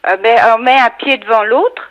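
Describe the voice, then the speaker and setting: A woman's voice: fairly high pitch, normal speed. A woman on a telephone call, answering briefly and hesitantly and going along compliantly. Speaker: prank call recipient. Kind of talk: phone conversation, hesitant answers and repetition. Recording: dull, muffled telephone line.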